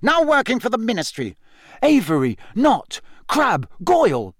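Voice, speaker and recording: A man's voice: very high-pitched, huge pitch swings, unhurried, dry recording, studio quality, pitched above the performer's own voice, exaggerated voice. A man voicing an earnest, defiant boy wizard in an audiobook, urgent and insistent. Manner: insistent, emphatic. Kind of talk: audiobook character voice.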